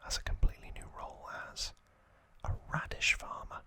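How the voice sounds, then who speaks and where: A man hosting an ASMR video, whispering softly and deliberately into a close microphone. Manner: slow, deliberate, soft whispering. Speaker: ASMR video creator and host.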